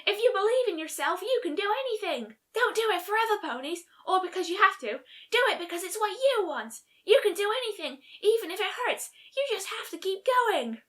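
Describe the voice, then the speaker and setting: A woman plays a cocky young female pony with a forceful, bright voice. She is blunt and sarcastic but loyal, and her cartoon delivery is very animated. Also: very high voice, brisk, few pauses, pitched above the performer's own voice, heavily acted. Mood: shouted encouragement.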